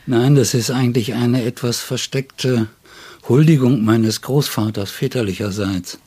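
Husky older man, reflective storyteller. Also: medium pitch, a little slow, mellow. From Friesland, northern Germany. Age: 70s. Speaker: poet and literary editor, interview guest. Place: indoors, in his home study.

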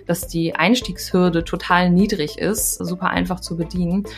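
A woman's voice: lowish voice, fairly even intonation, normal speed, faint music behind. A woman giving her opinion as a commentator, in a reflective way. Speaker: opinion blogger, guest commentator.

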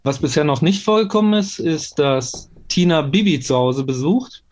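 Slightly high-voiced man reading facts. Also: lively intonation, normal speed, a little mellow. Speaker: podcast's expert presenting background facts. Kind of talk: scripted narration.

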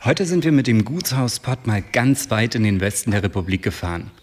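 A man's voice: on the low side, expressive, brisk. A male podcast host reading a scripted episode introduction. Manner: announcing.

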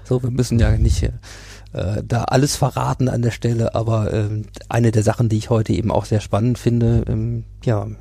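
Mellow-voiced man hosting a podcast. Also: mid-range voice, little pitch movement, moderate pace, creaky, husky, no echo, studio quality. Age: 40s. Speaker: podcast host, interviewer. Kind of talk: conversational podcast interview.

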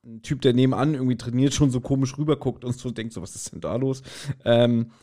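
A man's voice: medium pitch, quick pace, dark tone. A man co-hosting a podcast, casually retelling a story and acting out the quotes as he goes. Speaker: podcast co-host. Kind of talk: casual chat, retelling an audio drama episode.

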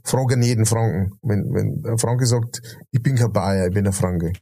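A man with a Bavarian accent talks loosely on a podcast, his voice mellow and a little dark. He wanders through his points with plenty of hesitations and self-corrections. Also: fairly low pitch, moderate pace, gravelly, slightly rough. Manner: rambling, many fillers and restarts. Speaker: podcast co-host. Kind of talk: casual chat.

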